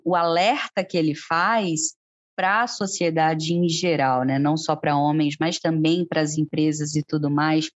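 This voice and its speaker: Brazilian woman co-hosting a podcast, lowish voice, explains stuff and keeps asking her cohost, dull dry sound. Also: dry recording. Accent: Brazilian Portuguese. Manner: explanatory, asks co-host questions. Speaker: podcast co-host. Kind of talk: conversational podcast talk.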